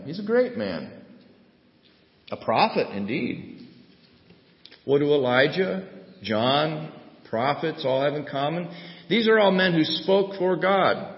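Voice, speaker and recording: A man's voice: mid-range voice, creaky. An emphatic male pastor delivers a sermon, firing off rhetorical questions and playing out little scenes. His voice carries through a roomy church with a long tail of reverb. The sound is dull and muffled. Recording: echoey muffled hall recording.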